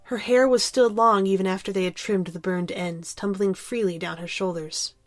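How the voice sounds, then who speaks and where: A woman's voice: medium pitch, steady tone, normal speed, dry recording. A woman reading scripted story narration as the narrator of an audio drama.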